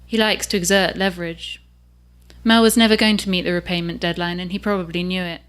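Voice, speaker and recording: A woman, reading the opening of her own novel aloud in an unhurried way. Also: fairly low pitch, little pitch movement, a bit bright. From England. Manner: measured and unhurried.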